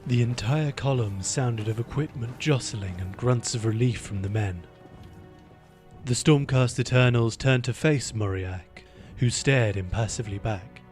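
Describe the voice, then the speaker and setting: A man's voice: mid-range voice, measured pace, a little mellow, faint music behind. A man reading scripted narration as the storyteller of a fantasy audio drama.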